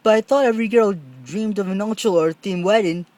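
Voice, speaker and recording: A smitten, nerdy storybook boy, read by a man, fairly high in pitch. He is over-devoted, pleading in grand, odd declarations. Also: a little fast, darkish tone, no echo, close to an everyday voice.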